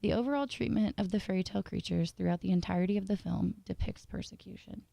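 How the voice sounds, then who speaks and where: A woman reading a scripted analytical video essay in a measured, formal way. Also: mid-range voice, gravelly, warm tone, no echo.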